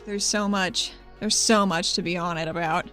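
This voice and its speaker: A woman's voice: fairly low pitch, fairly even intonation, a bit bright, faint music behind, close to an everyday voice. A woman voicing an overworked councillor who stumbles and repeats herself as she speaks. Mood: flustered. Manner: halting, stumbling over words. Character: busy, overworked woman councillor, tired but determined.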